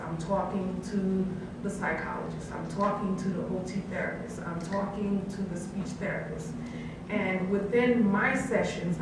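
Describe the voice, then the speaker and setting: A woman of Haitian background, a family counselor on a panel, talking earnestly with many um fillers. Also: on the low side. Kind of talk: unscripted panel talk. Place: college event room. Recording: echoey room, distant mic, background hiss.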